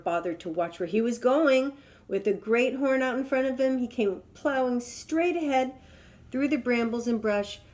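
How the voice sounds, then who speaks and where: A woman's voice: on the high side, normal speed, darkish tone. A woman storyteller reading a children's tale aloud in a dramatic, emphatic way.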